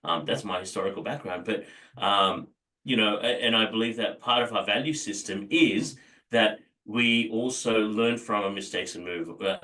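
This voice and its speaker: Measured man, bright but distant. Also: fairly low pitch. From India. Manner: measured, thoughtful, many fillers. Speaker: guest. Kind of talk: interview.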